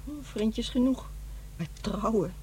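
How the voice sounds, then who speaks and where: A woman's voice: a little high, slow, mellow. An actress playing a matter-of-fact, defensive middle-aged divorced mother in a radio play, with a dry, blunt delivery. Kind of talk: Dutch radio drama acting. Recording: noisy, humming radio play recording.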